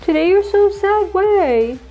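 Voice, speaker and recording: A woman's voice: very high-pitched, lively intonation, slow pace, mellow, lo-fi sound. A female co-host chatting casually and playfully, cooing in baby talk to a pet.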